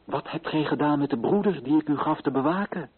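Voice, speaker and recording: An actor playing an earnest, righteous colonial official, speaking in formal, declamatory radio drama style with solemn rhetorical weight. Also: male voice, on the high side, a little fast, husky. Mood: solemn, grave. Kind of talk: theatrical radio drama oratory. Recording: muffled lo-fi old radio recording.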